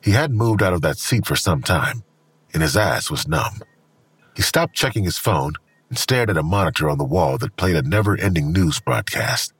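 A male narrator with a deep, extremely husky voice reads scripted drama prose. His intonation moves up and down freely, and the recording is dry and close. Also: animated, moderate pace, fairly bright tone, no echo.

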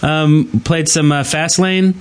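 A man's voice: fairly high pitch, steady tone, takes it slowly, clear, resonant tone. Easygoing man chatting on a gaming podcast. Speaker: podcast host. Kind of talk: casual gaming podcast chat.

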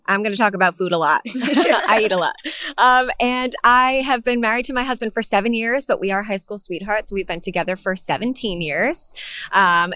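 A woman, chatty and quick to laugh, talking as a podcast guest. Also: fairly high pitch, a bit bright, no room sound. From Long Island.

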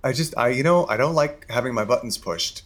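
A man's voice: mid-range voice, brisk, fairly bright tone, husky. An actor playing a nervous, stammering male theater composer in an audio comedy. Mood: flustered.

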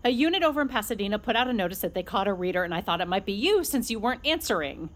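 A woman acting an anxious, protective older sister in a fiction podcast, earnest and halting when emotional. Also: medium pitch, very animated, lightly acted. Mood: worried, urgent.

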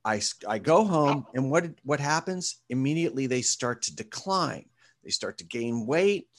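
A man as an interview guest and author, answering with lively storytelling and speaking to a group. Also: medium pitch, normal speed, slight background noise.

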